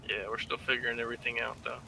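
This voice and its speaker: A man's voice: mid-range voice, level tone, rapid-fire, vocal fry, sharp tone, slight background noise, poor audio. A man chatting casually and appreciatively as a newcomer asking questions in an online game. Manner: friendly, appreciative.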